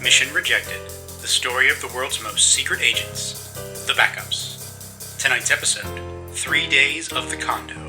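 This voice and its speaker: A man's voice: mid-range voice, unhurried, music in the background, close to an everyday voice, poor audio. A man playing a dramatic announcer, reading the intro of a comic audio drama series. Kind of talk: dramatic scripted show intro.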